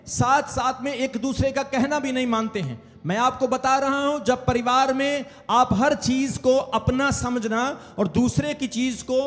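A man's voice: very high voice, big-room sound. A man preaching a sermon as pastor, calling on the congregation to say amen. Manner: preaching with call-outs for amen.

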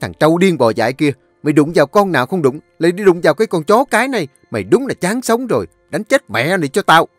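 A man voicing a furious young master of a rich household who yells curses. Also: a little high, sing-song, fast talker, few pauses, no echo, soft background music, pitched above the performer's own voice. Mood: enraged. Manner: yelling, cursing.

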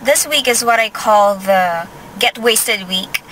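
A young Filipino woman vlogging to the camera from her car, sounding sleepy and a bit hoarse, chatting in a meandering way and correcting herself as she goes. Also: fairly high pitch, slow, sharp tone, a little hiss or hum, low-quality recording. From Philippines. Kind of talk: casual vlog talk to camera. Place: inside a parked car. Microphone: handheld camera close to face.

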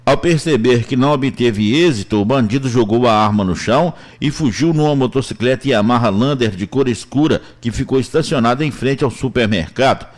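A man's voice: medium pitch, fairly quick, few pauses. A man with a Brazilian accent reading scripted police news reports as a reporter.

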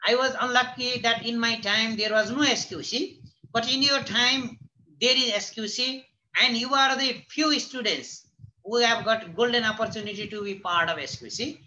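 A man in his thirties with a Nepali accent moderates an online panel as a teacher, speaking haltingly and repeating phrases for emphasis. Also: very high-pitched, bright, forceful tone. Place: indoors, plain-walled room. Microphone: computer mic on a video call. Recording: noisy video-call audio with clicks.

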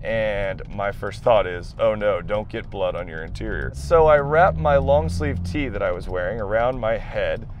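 A man's voice: on the low side, moderate pace, few pauses. Self-mocking young man vlogging from his car. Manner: self-deprecating, animated retelling. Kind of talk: casual storytelling vlog. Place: inside a car, driving. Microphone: camera mounted in car. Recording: in-car camera with clear road noise. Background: road and engine noise.

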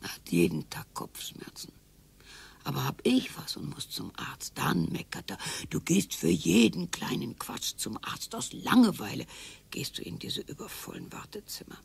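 An actress playing a tired, sharp-tongued wife in her sixties in a radio play, slow and husky, grumbling half under her breath. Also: deep voice, huge pitch swings, exaggerated voice. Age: 60s. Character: weary long-married wife, sharp-tongued.

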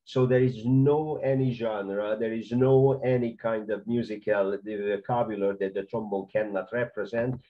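A middle-aged man with a Hungarian accent, a conductor giving an informal music history lecture from his home office, enthusiastic, with halting non-native phrasing. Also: on the low side, steady tone. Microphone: webcam at desk. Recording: dull lo-fi webcam audio.

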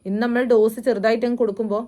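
A woman speaks very quickly in a slightly high voice. She hosts reaction commentary, delivering her opinions in a disapproving, sarcastic manner. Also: little pitch movement, dark tone. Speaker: reaction video host. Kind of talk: opinion commentary on a viral video.

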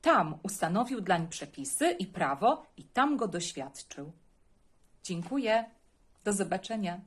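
A woman's voice: medium pitch, sing-song, unhurried, slightly rough. A woman introducing and reading a Bible passage aloud for children.

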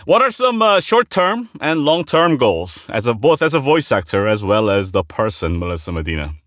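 Male interviewer, playful and exclamatory, lively swooping intonation, casual podcast talk, dry studio sound. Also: medium pitch, moderate pace, no echo. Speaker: podcast host.

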